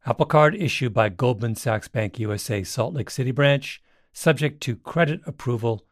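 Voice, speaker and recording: Male ad voice-over, mid-pitched, dry studio sound, scripted read. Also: moderate pace, no room sound. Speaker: advert voice-over.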